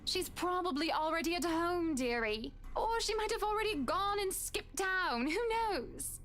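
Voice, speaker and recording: A woman voicing a stern, composed young wife in a visual novel game, speaking in a measured, pointed way. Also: very high-pitched, expressive, a bit bright, pitched above the performer's own voice, exaggerated voice. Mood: exasperated.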